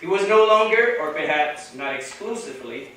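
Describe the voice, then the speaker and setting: A man speaking English with a Brazilian Portuguese accent gives a deliberate, carefully paced academic lecture at a podium. His voice sits a little high for a man. The sound is very echoey and comes from a distance, with faint noise in the hall. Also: expressive, a bit bright. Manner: measured, deliberate delivery with pauses. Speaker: conference speaker. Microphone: podium microphone.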